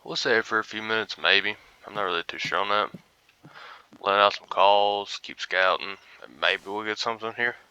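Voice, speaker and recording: A man's voice: lowish voice, fairly even intonation, normal speed, pushed and bright, clear, resonant tone, no room sound, low-quality recording. A young man casually commentating a hunting game, groggy and rambling as if he just woke up. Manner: laid-back, rambling, mumbly asides. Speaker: gaming streamer.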